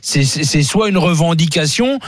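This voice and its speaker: Slightly high-voiced man debating, dry sound. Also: animated, normal speed, dry recording. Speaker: radio panellist. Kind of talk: casual debate.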